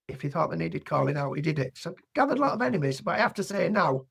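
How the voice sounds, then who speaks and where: A middle-aged man with a Yorkshire accent, hoarse from losing his voice, talking bluntly as a farmer guest in a casual chat. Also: on the high side, very animated, fast talker, fairly bright tone. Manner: plain-spoken, no-nonsense.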